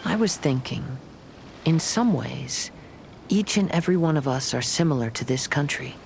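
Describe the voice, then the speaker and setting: A man playing a gentle, reflective young wandering samurai in a video game, speaking in a measured way. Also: fairly high pitch, creaky, a little hiss or hum, rough recording. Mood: calm, reassuring. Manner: calm, measured, contemplative. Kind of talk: scripted video game dialogue. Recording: loud music under the voice.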